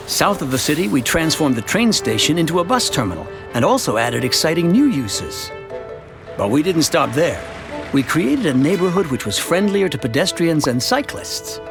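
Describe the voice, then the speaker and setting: A middle-aged family man telling his town's story for an animated short. His voice sits a little high and moves with animated swings, earnest and conversational, like scripted storytelling made personal. Music plays clearly behind him. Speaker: narrator.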